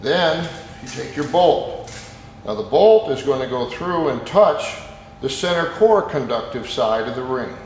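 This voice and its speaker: A man's voice: mid-range voice, animated, a little slow, darkish tone, slight background noise. Man giving instructions in an echoey, reverberant room. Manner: step-by-step, plain-spoken instructions. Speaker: technician demonstrating an installation. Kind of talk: hands-on instructional demonstration.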